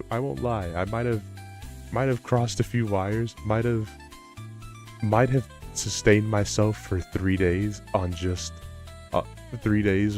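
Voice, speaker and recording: A man with a low voice chatting casually on a live stream, rambling and self-deprecating. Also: darkish tone. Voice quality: low-pitched voice. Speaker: livestreamer. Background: background music.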